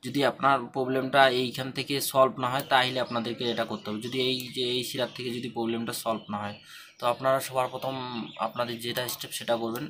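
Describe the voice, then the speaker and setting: A man's voice: medium pitch, level tone, fast, fairly bright tone. A man presenting a hands-on repair tutorial, repeating himself as he instructs step by step. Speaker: phone repair tutorial host. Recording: clearly noisy home-made recording.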